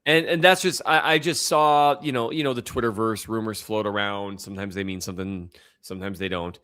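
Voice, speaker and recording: A man's voice: fairly low pitch, fairly quick, pushed and bright, clear, resonant tone. A man with a slight Minnesota accent co-hosting a sports podcast, chatting casually in a rambling, self-deprecating way. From upper Midwest and Missouri. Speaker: podcast co-host.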